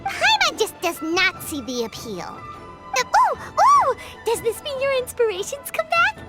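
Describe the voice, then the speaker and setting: A woman voicing a tiny, excitable, childlike fairy companion for an anime video game, with a squeaky, expressive delivery. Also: unhurried, exaggerated voice. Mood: excited. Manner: talks of self in third person, expressive. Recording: music playing behind the voice.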